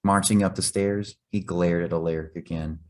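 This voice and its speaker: Low-pitched man reading a storybook. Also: steady tone, normal speed, darkish tone. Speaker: reader. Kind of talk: scripted storybook narration.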